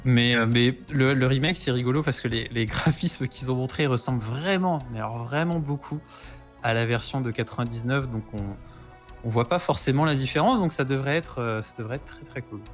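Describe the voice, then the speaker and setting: A man's voice: medium pitch, a little fast. A man chatting casually as a podcast guest, explaining retro games with keen interest. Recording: music playing behind the voice.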